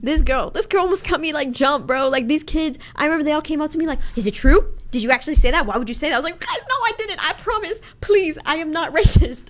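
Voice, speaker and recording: A woman's voice: sits high, lively intonation, fast talker, few pauses. A woman of nineteen chatting casually, laughing often and filling her talk with "like" and "bro". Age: young adult. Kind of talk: casual chat.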